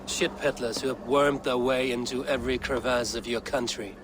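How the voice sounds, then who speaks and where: A man acts a terse, menacing Viking warrior for a video game. The voice is mid-pitched, blunt and boastful, over faint background noise. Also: steady tone, normal speed, close to an everyday voice. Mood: wry, resolute. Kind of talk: dramatic video game acting.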